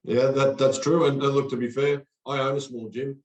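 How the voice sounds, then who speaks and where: A man's voice: mid-range voice, fairly even intonation, moderate pace, darkish tone. Earnest motivational man in an echoey room. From Australia. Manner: earnest, motivational, repeats words when starting phrases. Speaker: podcast guest, coach. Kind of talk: conversational interview.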